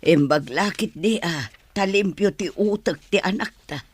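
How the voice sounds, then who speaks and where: Gentle moralizing village parent, raised voice played by a man. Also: high voice, unhurried, breathy edge. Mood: concerned. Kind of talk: Ilocano radio drama acting.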